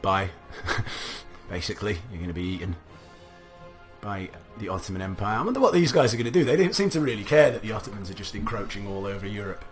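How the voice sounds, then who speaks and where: A young man casually commentating a strategy game, thinking aloud, his voice a little gravelly from a recent cough. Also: on the low side, very animated, a little fast. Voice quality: slightly gravelly. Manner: musing aloud, chatty, self-talking. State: recovering from a cough, slight gravel. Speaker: let's play commentator. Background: faint game music.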